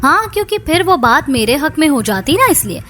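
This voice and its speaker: High-pitched woman acting in a family cartoon. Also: big pitch swings, fairly quick, a bit bright, slight background noise. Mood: defiant, aggrieved. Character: women of a quarrelling household: daughter-in-law, mother-in-law, sister-in-law. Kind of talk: Hindi moral-story cartoon dubbing. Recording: music bed behind the voice.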